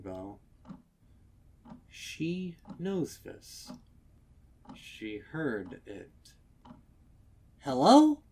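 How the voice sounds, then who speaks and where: A man narrating a horror story from a script, dramatically, with deliberate pauses and ominous emphasis. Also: fairly low pitch, darkish tone, a little hiss or hum. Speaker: fan fiction narrator.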